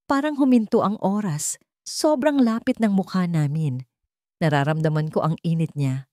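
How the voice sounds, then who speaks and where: A woman narrating a romantic drama in the first person, in an expressive, sentimental storytelling style. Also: on the low side, moderate pace, no echo, studio quality.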